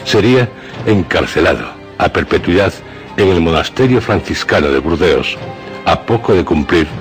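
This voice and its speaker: Very deep Castilian man narrating horror. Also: lively intonation, normal speed, faint music behind. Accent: Castilian Spanish. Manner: solemn, ominous storytelling. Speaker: narrator and host of a radio horror show. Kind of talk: dramatic scripted horror narration.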